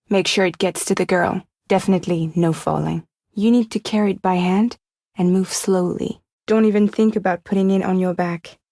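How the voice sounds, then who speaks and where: A woman's voice: lowish voice, a little mellow, no room sound, studio quality. An actress playing a weary, wry young woman courier in a video game, guarded but caring, speaking in an understated, intimate way.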